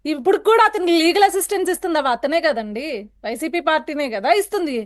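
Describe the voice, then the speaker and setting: Very high-pitched woman arguing forcefully. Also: expressive, quick pace. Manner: forceful, accusatory, rhetorical questioning. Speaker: political panel guest. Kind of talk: heated television political debate.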